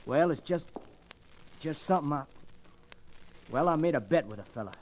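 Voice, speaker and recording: High-pitched, halting young cowhand. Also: male voice, animated. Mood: uneasy. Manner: halting, folksy. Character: earnest, awkward young male cowhand. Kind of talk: old-time radio western acting. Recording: noisy vintage radio recording.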